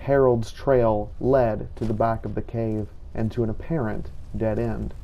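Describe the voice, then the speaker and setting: A man's voice: on the low side, flat delivery, a little slow, vocal fry, lo-fi sound. A man narrating a game roleplay as a grim, devout vampire hunter, in a solemn and formal storytelling manner. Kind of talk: scripted in-character story narration.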